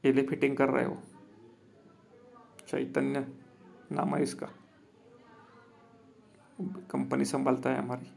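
A man's voice: medium pitch, completely flat delivery, slow pace, creaky, long pauses, a little mellow. A man, plainly walking viewers through a job on an outdoor rooftop and recording on a handheld phone. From Maharashtra, India. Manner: plain, repetitive, addresses viewers as friends. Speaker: solar installer and business owner. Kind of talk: walkthrough video commentary.

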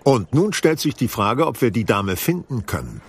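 An actor playing a composed, wry detective in an audio drama, explaining things in a measured, articulate way with dry irony. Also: male voice, mid-range voice, sing-song, slight background noise. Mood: brisk, businesslike. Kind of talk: German audio drama acting.

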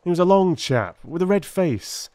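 A male reader puts on a plain-spoken police constable in a dramatic audiobook. He is touchy and blunt, talking colloquially with an aggrieved air and lively swings of pitch, recorded dry. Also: normal speed, no echo. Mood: irritated.

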